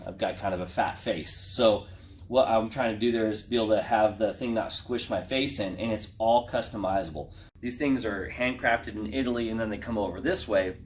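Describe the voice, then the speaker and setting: Casting: man, lowish voice, informal video presenter, slangy and unscripted. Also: a little hiss or hum. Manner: informal, slangy, addressing viewers as guys. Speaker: racing driver presenting a product unboxing. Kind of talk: casual unscripted product walkthrough.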